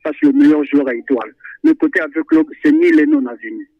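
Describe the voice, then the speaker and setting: A man's voice: very high-pitched, fast talker, vocal fry, dry recording. A man calling in to a radio show, chatting animatedly about football with many hesitations and repetitions. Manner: animated, repeats himself, frequent hesitations. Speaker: radio call-in listener. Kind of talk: phone-in football chat. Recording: tinny phone line.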